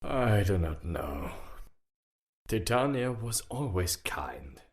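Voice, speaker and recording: Grave, kindly male fantasy elder acted by a man, very low, slow and weighty, swelling intonation. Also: sing-song, heavily acted. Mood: solemn, kindly. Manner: grave, ponderous. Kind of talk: fantasy audio drama acting.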